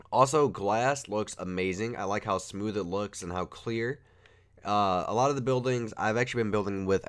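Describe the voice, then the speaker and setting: Young man with a cold, low-ish voice, loose rambling game commentary. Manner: rambling, filler-heavy, easygoing. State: has a cold. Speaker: Minecraft builder reviewing a texture pack. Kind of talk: casual gameplay commentary.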